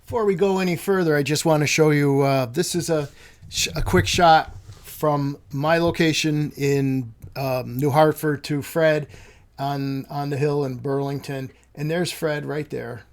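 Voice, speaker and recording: A man with a slightly high voice talks through a technical hobby in an informal vlog style. He is conversational and a bit hesitant, working out his explanation as he speaks. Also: gravelly. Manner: hesitant, thinking aloud, conversational. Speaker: amateur radio hobbyist presenter.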